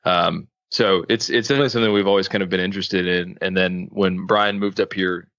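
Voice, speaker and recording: A man, a podcast guest, talking in a relaxed way with many ums, from a studio space. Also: sits low, fairly quick, no echo, highs cut off. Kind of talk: casual interview.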